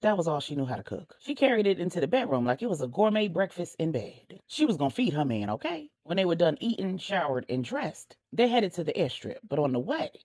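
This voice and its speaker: A woman's voice: fairly low pitch, very animated, a little fast, hardly any pauses, a little mellow, no echo. A woman narrating a story expressively as a storyteller, with a conversational touch.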